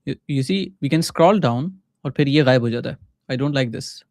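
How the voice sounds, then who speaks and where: A man from Pakistan with a Pakistani accent and Urdu as his first language, casually thinking aloud in short halting phrases as he live-streams coding. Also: medium pitch, gravelly, a little mellow, no echo, studio quality. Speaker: livestreaming coder and mentor.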